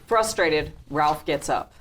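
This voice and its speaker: A woman's voice: deep voice, slow pace, a bit bright. A woman reading scripted stage directions as narrator at a live table read.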